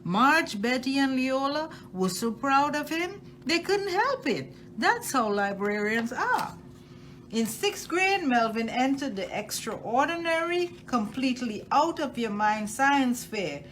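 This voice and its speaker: A woman reading a children's picture book aloud expressively, with deliberate phrasing and emphasis on key words. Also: sits high, sing-song, a bit bright, a little hiss or hum. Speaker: storytime reader at a library.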